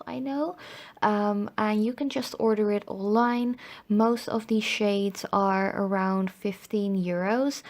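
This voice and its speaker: A young woman with a mid-range voice, a little hoarse and cracky from a recent illness. She talks in a relaxed, chatty way, filling her sentences with "um" and "like" as she explains each step. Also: mid-range voice. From Netherlands. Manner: chatty, lots of fillers like "um" and "like". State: slightly hoarse, recovering from illness. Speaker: nail art video host. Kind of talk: casual voice-over tutorial.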